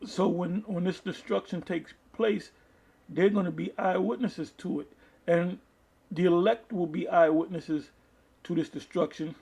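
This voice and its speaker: Male lay preacher, slightly high but husky voice, stop-start reading with asides. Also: fairly even intonation, darkish tone. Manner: halting, repeats and restarts phrases. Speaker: preacher reading articles and scripture to an online audience. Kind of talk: informal video sermon, reading aloud with commentary.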